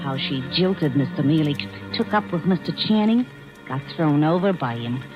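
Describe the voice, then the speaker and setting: An actress playing a catty, gossiping secretary in an old radio drama. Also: female voice, low-pitched, animated, normal speed. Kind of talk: 1940s radio acting. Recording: lo-fi old radio with music underneath.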